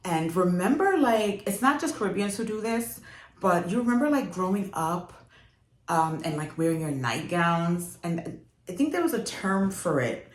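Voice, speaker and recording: Young woman from jamaica raised in new york, low voice, chatty fashion vlog, laughs a lot, roomy echo. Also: very animated, moderate pace. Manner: chatty, laughs often, lots of fillers. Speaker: fashion vlogger. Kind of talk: casual chat to camera. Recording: echoey room.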